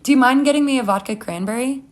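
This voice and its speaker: A woman reader acts out a young woman on a date in a short story. She is awkward and easily flustered, and her words come out halting, with hesitant ums. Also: high voice, pitched above the performer's own voice, lightly acted. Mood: distracted.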